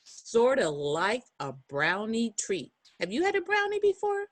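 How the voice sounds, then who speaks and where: A woman reads a children's picture book aloud in a lively, swooping voice. She takes her time and breaks off for encouraging asides and questions to young listeners. The sound is close and dry. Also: fairly high pitch, a little slow, no room sound. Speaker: children's storybook reader.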